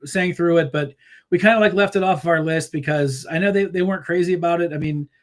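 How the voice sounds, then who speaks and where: Rambling, animated man telling band stories. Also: on the high side, quick pace. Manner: rambling, many fillers and restarts; laughs easily, animated storytelling. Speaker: musician guest. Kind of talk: casual interview chat.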